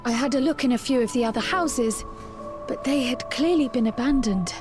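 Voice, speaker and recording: High-voiced, precise young woman played by an actress. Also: normal speed, close to an everyday voice. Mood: composed, inquiring. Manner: formal, precise phrasing. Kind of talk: video game voice acting. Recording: music behind the voice.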